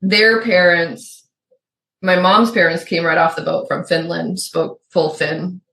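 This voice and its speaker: A woman's voice: fairly low pitch, fairly even intonation, measured pace, creaky, bright, forceful tone. A middle-aged woman from Michigan's Upper Peninsula with a faint Yooper accent, co-hosting a podcast in rambling casual chat. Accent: Yooper, suppressed. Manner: chatty, rambling with restarts and asides. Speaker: podcast co-host. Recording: roomy echo.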